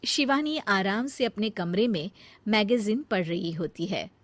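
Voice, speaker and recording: A woman's voice: medium pitch, unhurried, no echo. Woman narrating a cartoon story. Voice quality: plain, even storytelling.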